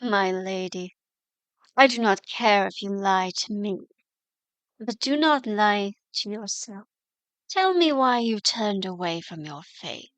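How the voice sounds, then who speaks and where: A woman acting as a gentle, ancient mystic guide in a fantasy audio drama, solemn and coaxing in a hushed, deliberate delivery. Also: mid-range voice, animated, no room sound. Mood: calm, probing.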